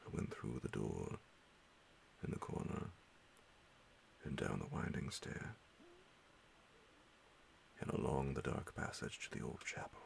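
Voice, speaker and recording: Very deep, gravelly hushed male narrator. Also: expressive, a little hiss or hum. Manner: hushed, half-whispered, dramatic pauses. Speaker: audiobook narrator. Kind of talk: ASMR bedtime horror story reading.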